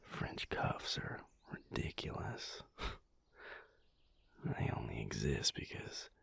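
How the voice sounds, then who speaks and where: A man playing an affectionate, teasing vampire lover in an intimate audio roleplay, speaking softly and unhurriedly in a whispery, gravelly voice. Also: a bass voice, big pitch swings, no echo, exaggerated voice. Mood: amused, teasing. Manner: unhurried, soft, drily teasing.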